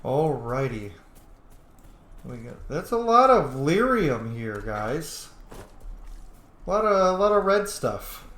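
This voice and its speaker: Male let's-play host: lively swings in pitch, casual and foul-mouthed, roomy echoing sound. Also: slow pace, pauses often. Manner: sweary, informal, exclamatory. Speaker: video game streamer, host.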